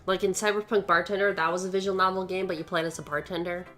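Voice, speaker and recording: A woman live-streaming a game with casual, expressive commentary and reading bits of it aloud. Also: lowish voice, fast.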